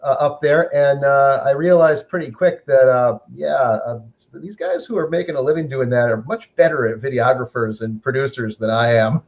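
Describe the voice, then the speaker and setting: A man's voice: medium pitch, normal speed. Chatty male host, lo-fi audio. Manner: easygoing, chatty, lots of um fillers. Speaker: host of a live online show. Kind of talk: casual online interview. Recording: muffled, slightly lo-fi audio.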